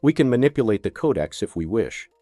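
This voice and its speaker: Male tutorial narrator, methodical product demonstrator with animated intonation, recorded close and dry. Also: lowish voice, quick pace, dry recording, soft background music. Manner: methodical, step-by-step instruction.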